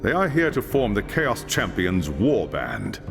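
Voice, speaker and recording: Give me an authoritative wizard master with a wide-ranging voice. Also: male voice, lowish voice, huge pitch swings, measured pace, vocal fry, music in the background, full character voice. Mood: grave. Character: commanding older male wizard mentor. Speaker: High Lore Master.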